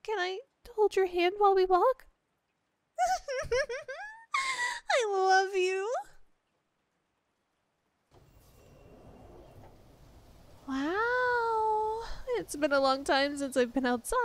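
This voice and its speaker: A woman's voice: very high-pitched, lively intonation, slow, full character voice. A woman playing a clingy, obsessive young girlfriend in an ASMR roleplay, sweet, gushing and emotional, with an apologetic, hesitant way of talking. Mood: excited, loving.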